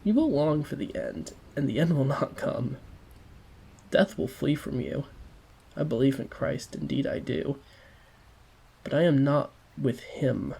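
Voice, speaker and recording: A man's voice: medium pitch, vocal fry, darkish tone, slightly rough, low-quality recording. A man voicing a tormented devout man who broods on death and sin, speaking with fervent, preaching intensity.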